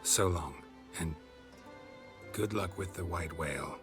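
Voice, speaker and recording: An actor playing a stoic, dry-witted monster hunter in a fantasy game, with terse, deadpan lines. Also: male voice, deep voice, slow pace, music in the background. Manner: terse, deadpan, clipped sentences. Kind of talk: video game cutscene dialogue.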